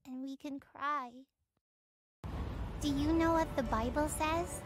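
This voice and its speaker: High childlike storyteller, slow and simple. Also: over loud music. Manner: simple, expressive address to young listeners. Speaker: host of a children's Bible story show. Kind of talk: animated scripted storytelling and teaching for children.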